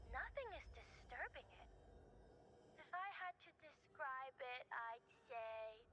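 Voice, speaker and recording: A woman voicing a casual, thoughtful young tech scout in a game, musing with trailing pauses over music. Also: high voice, low-quality recording. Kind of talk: conversational game voice acting.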